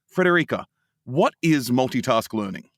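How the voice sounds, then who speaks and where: A man's voice: mid-range voice, animated, normal speed, fairly bright tone, dry recording, clean studio sound. A man hosting a podcast interview, asking prompting questions in a conversational way. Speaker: podcast host.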